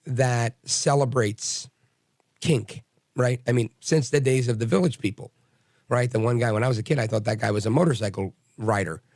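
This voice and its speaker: A middle-aged man hosting a radio talk show, hoarse and raspy from bronchitis, blunt and combative as he rambles through his opinions. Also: mid-range voice, darkish tone, dry recording, clean studio sound.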